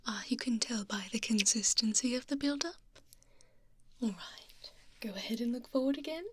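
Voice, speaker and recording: A woman voicing a calm, courteous female doctor in an ASMR roleplay, soft and half-whispered, reassuring and unhurried, close to the microphone. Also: fairly high pitch, husky. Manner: unhurried, reassuring, murmuring little acknowledgements. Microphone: close binaural ear microphone.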